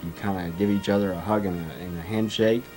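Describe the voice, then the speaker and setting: A man's voice: deep voice, steady tone, fast talker, a little mellow. A middle-aged man reminiscing casually in an interview, hesitant and conversational. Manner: hesitant, conversational, many you-knows. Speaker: audio drama team member. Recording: music behind interview speech.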